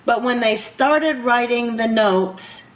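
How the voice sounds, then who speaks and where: Older female radio host, fairly high voice, chatty and encouraging, circles back over phrases, dull lo-fi audio. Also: slow. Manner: encouraging, repeats herself. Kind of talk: conversational interview. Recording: muffled radio interview sound.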